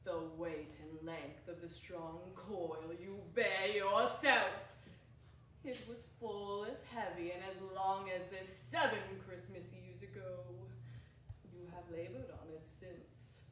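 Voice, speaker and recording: A slow, sorrowful phantom dragging its chains, acted by a woman. Every line is measured and lamenting, as if the voice carries a warning from beyond. It sounds from a distance in a very reverberant theatre, with faint room noise behind. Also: mid-range voice, a bit bright. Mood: grave. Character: mournful chained ghost of a dead partner. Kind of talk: dramatic stage reading. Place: small theatre stage. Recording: echoey hall from far off.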